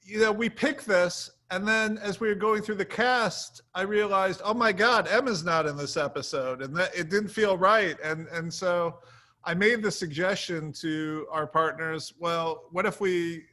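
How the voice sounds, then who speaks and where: Higher-voiced man with a bright, dry sound. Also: measured pace, no room sound. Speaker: TV series co-creator, interview guest. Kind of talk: casual conversational interview answers.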